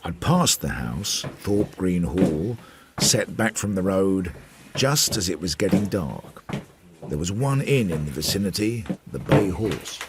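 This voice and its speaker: An actor playing a Victorian police sergeant who narrates his inquiry in a measured, wry, literary way in a radio drama. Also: low-pitched, very animated, background noise, full character voice.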